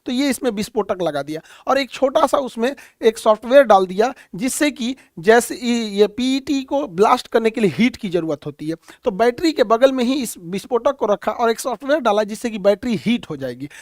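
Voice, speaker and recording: A man's voice: very high voice, animated, moderate pace, hardly any pauses, no echo, studio quality. A man teaching in a colloquial, storytelling way, repeating key phrases as he explains. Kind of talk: explanatory current-affairs lecture.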